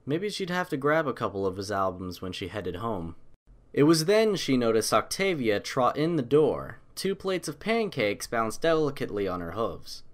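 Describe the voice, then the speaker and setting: A man's voice: mid-range voice, sing-song, moderate pace. A man reading a scripted story aloud as an audiobook narrator.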